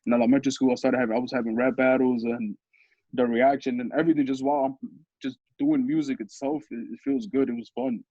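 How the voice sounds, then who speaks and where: A young man with a deep voice, a musician talking casually as an interview guest, rambling with restarts. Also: fast talker, no room sound, highs cut off. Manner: relaxed, rambling with restarts and laughs.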